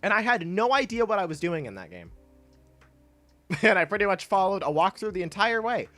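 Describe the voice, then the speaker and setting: A man's voice: high voice, sing-song, a little fast, fairly bright tone, faint music behind. A young man streaming and chatting casually with his viewers, congested from clogged sinuses, rambling, often cutting himself off and laughing. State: congested, stuffy nose. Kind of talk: casual livestream chat, reading and answering chat.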